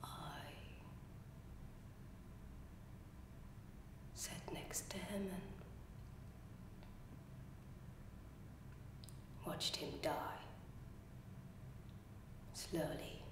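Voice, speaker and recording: Haunted, volatile young female played by a woman in audition, halting and intense, whispers to shouts, very reverberant room. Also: low-pitched, voice pushed down. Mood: eerie, hushed. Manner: slow, halting, breathy whispering that breaks into shouting. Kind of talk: dramatic audition monologue. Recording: very echoey room, faint noise.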